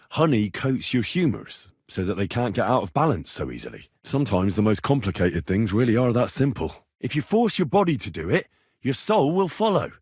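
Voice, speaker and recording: Earnest medieval healer, lively low-pitched delivery. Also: male voice, breathy edge, no room sound, studio quality, heavily acted. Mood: earnest, encouraging. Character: earnest young medieval man tending the sick, reassuring and practical. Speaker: voice actor in a video game. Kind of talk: video game character dialogue.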